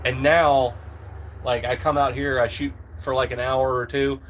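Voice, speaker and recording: A man's voice: medium pitch, flat delivery, a little slow, slight background noise. A man in his thirties chatting casually on a livestream, rambling with lots of fillers while shooting outdoors. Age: 30s. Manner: rambling, easygoing, filler-heavy. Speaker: livestream host. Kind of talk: casual live-stream chat while target shooting.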